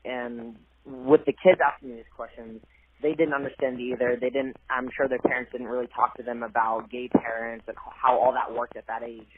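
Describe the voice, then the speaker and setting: A man's voice: mid-range voice, level tone, brisk. A young man from Cambodia, a college athlete, talking earnestly and a bit hesitantly in a phone interview. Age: young adult. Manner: soft-spoken, earnest, hesitant with fillers. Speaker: guest athlete. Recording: tinny phone line with faint hiss.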